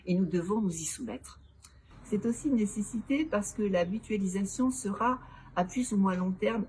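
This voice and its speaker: A woman, a local elected official, giving prepared, formal interview answers in a structured, didactic and measured way. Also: medium pitch, darkish tone. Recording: clearly noisy background ambience.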